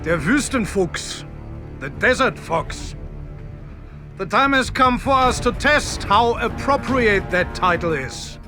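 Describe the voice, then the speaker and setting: High-pitched, commanding German general. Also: male voice, lively intonation, music in the background. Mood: sly, commanding. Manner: theatrical, measured, commanding. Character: commanding German panzer general, shrewd and confident. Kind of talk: dramatic video game military briefing.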